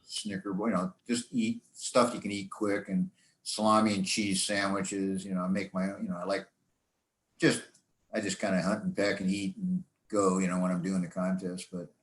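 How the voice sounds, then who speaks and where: A man giving an informal club talk about his hobby in a rambling, halting, folksy way. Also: low voice, flat delivery. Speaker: presenter, amateur radio hobbyist. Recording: echoey room sound.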